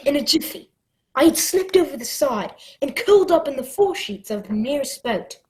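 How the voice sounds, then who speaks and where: A woman playing an excitable boy adventurer, narrating a radio drama with dramatic storytelling. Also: sits high, normal speed. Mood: breathless, alarmed. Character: excitable boy adventurer telling his tale.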